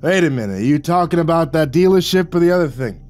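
A man's voice: fairly high pitch, animated, fast, a little mellow, pitched above the performer's own voice, lightly acted. A man voicing a mocking, nosy, wisecracking gangster in an audiobook. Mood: puzzled. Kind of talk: audiobook character voice. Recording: music behind the voice.

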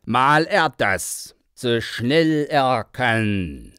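A man voicing a gruff, impatient peasant farmer in a storybook, calling out in exclamations. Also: medium pitch, lively intonation, drawn-out words, fairly bright tone, no echo, clean studio sound, voice pushed up. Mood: shouting, commanding.

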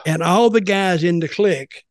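Podcast host, man in his 70s, slightly high voice, casual storyteller, dry close-miked sound. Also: moderate pace, no echo. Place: small home office, indoors. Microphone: desk microphone with headset.

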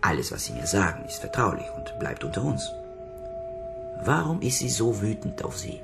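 A psychotherapist in a radio crime drama. His voice sits very low, and he speaks with calm authority. He questions in formal, measured sentences and does not let go. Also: vocal fry, loud music behind the voice, exaggerated voice. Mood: probing, controlled. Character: calm, probing male psychotherapist, authoritative and persistent. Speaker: actor in a radio crime play. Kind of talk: German radio drama acting.

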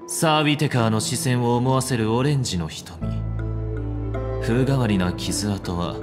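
A man voicing a composed, elegant young head of a family in a video game, speaking formally and deliberately with quiet menace. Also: lowish voice. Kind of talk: dramatic video game voice acting.

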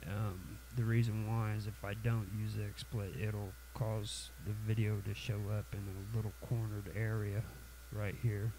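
Tutorial host, man, sniffly cold, low gravelly voice, hesitant delivery with lots of ums. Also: level tone, background noise. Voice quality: halting, many fillers and restarts. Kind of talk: casual screen-recorded software tutorial.

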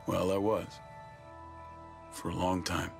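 A man's voice: sits low, flat delivery, takes it slowly, vocal fry, heavily acted. A man playing a blunt, intense, grieving older brother in a cinematic video game drama. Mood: weary, stern. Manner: blunt, foul-mouthed, intense. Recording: music underneath.

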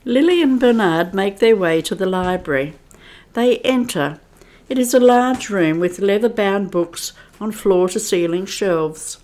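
A woman giving measured, descriptive narration for a scripted radio play. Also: medium pitch, animated, darkish tone. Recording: echoey room.

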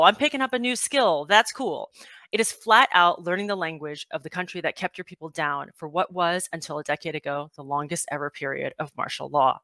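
A woman in her forties from Taiwan, reading her own personal essay aloud on a video call through a headset mic, with sudden lifts in pitch. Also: pushed and bright, no echo. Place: home room with bookshelves, indoors.

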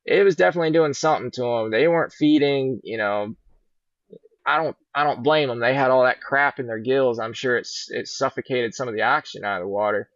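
Gravelly man on a muffled video call. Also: medium pitch, fairly quick, dry recording. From Virginia. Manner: easygoing, rambling storyteller. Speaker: fishing guide. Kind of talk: casual podcast interview. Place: large indoor boat showroom. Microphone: laptop webcam on a video call.

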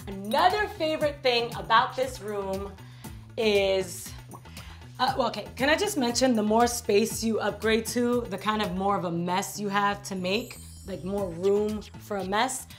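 A woman's voice: medium pitch, soft background music. Chatty woman giving a house tour. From New York. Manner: chatty, playful, drawn-out asides. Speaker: vlog host. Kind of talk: casual house tour vlog. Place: bright home interior, rooms of a house.

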